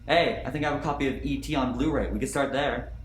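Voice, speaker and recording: A man's voice: mid-range voice, quick pace, close to an everyday voice. A young performer, a man, playing a wisecracking scientist with a dry, teasing manner. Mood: joking. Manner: dry, joking. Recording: echoey room, faint music.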